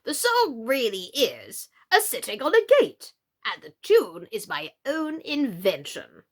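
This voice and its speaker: A gentle, foolish old storybook knight, as a woman acts him out, speaks in slow, drawn-out phrases. The delivery is doleful yet eager and fussy, swinging widely up and down with theatrical earnestness. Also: fairly high pitch, wildly swooping pitch, heavily acted. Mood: vexed, fussy. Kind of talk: children's storybook character voice.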